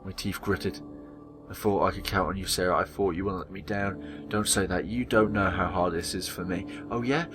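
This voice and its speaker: A man's voice: low voice, fairly even intonation, moderate pace, music in the background. A man hosting a gaming video, reading visual novel dialogue aloud dramatically and acting out the characters, with casual commentary.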